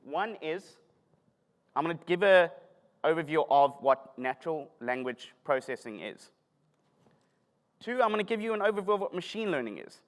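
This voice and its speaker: A man's voice: fairly high pitch, animated, moderate pace, pauses often. A middle-aged man with a South African accent giving a self-deprecating, joking conference talk. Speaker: conference speaker. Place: conference hall. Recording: long hall reverb.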